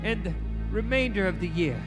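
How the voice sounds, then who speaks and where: A man playing an old miser in a live radio play, speaking haltingly and melodramatically. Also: high-pitched, animated. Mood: horrified, pitying. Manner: halting, melodramatic, breaking phrases for effect. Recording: live stage, music underneath.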